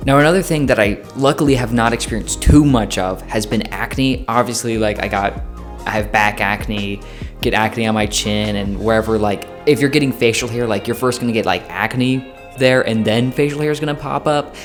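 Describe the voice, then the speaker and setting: A man's voice: on the low side, moderate pace, soft background music. A man in his twenties talking casually to the camera, chatty and playful, with plenty of fillers and self-corrections. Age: 20s. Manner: playful, jokey. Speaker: vlogger.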